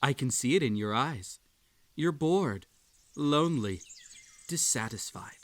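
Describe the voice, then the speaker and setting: Slow-talking, unhinged bunny host played by a man. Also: mid-range voice, expressive, natural voice. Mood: seductive, inviting. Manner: coaxing, falsely polite, theatrically unstable. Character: unhinged, possessive Ether Bunny playing a sinister tea-party host. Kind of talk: scripted roleplay audio drama addressed to the listener.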